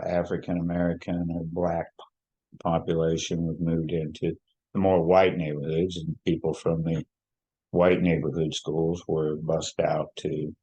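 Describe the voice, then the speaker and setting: A man in his sixties from Hawaii, telling his life story in an unhurried, reflective way, with many fillers and restarts. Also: low-pitched, mellow, breathy edge, low-quality recording. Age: 60s. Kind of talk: personal storytelling.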